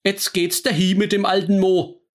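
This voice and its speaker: A man's voice: high-pitched, flat delivery, moderate pace, pitched above the performer's own voice, natural voice. A man voicing an amused grown son, breathless from laughing.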